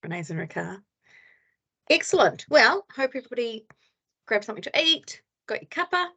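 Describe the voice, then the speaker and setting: Woman meeting host, mid pitch, chatty, laughs easily, video call. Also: big pitch swings, normal speed, pushed and bright. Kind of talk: casual chat and hosting an online meeting.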